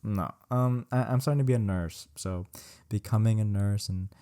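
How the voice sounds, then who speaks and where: A young Californian man talks loosely through a list of questions, his voice a touch low and mellow. He swears freely, tacks "bro" onto his sentences and corrects himself mid-thought. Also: normal speed. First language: Vietnamese. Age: young adult. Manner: slangy and profane, says "bro" a lot; rambling, self-correcting, laughs at himself. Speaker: solo podcast host. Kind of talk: casual solo Q&A chat.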